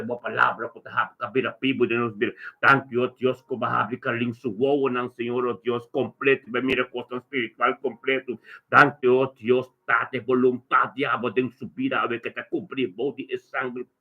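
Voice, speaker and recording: Male preacher, lowish and monotone, leading improvised fervent prayer with repetitive chanting invocations; thin telephone-like audio. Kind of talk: fervent extemporaneous group prayer. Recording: thin, narrow phone-like sound.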